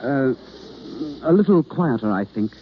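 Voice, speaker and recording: An actor playing an instructive, theatrical understudy coaching a novice in an old-time radio drama, on a noisy, dull vintage recording. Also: male voice, mid-range voice, lively intonation, slow pace. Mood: hesitant, polite. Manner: instructive, theatrical, hesitant with uhs. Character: male theatre understudy coaching a novice, impatient yet kindly.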